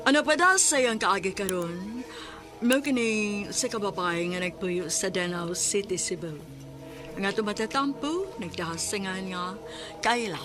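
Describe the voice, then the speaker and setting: A woman narrating and announcing a radio drama in a slow, measured way. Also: medium pitch, animated, music in the background.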